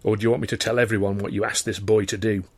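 A man's voice: on the low side, flat delivery, racing, creaky, breathy edge, no echo, studio quality. A man voicing a firm, coldly controlled character who stands up to a bully in a storybook.

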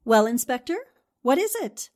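A woman performs an insistent newspaperwoman from an old radio drama. Her voice is high and swoops dramatically as she questions people. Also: big pitch swings, normal speed, darkish tone, a little hiss or hum. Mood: shocked. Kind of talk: 1940s radio drama acting.

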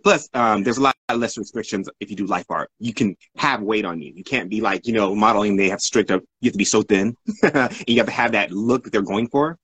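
A man's voice: lowish voice, a little fast, a bit bright, slightly rough, dry recording. A man being interviewed as a guest, telling stories casually in a rambling way and often correcting himself. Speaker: interview guest, art model.

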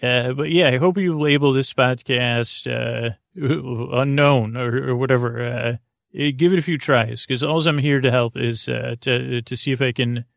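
A male podcast host delivers a meandering bedtime monologue meant to lull listeners to sleep. His medium-pitched voice is full of gravelly fry, and he rambles haltingly with a self-deprecating bent. The sound is very dry, with little room on it. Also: no room sound. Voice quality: gravelly, creaky.

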